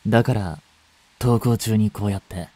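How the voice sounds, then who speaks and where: Man acting as a mellow, soft-spoken brooding teacher. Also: fairly low pitch, moderate pace, lightly acted. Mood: eerily friendly. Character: gentle male chemistry teacher, brooding, turning possessive. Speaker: voice actor in a fan voice drama. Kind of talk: Japanese audio drama monologue, intimate acting.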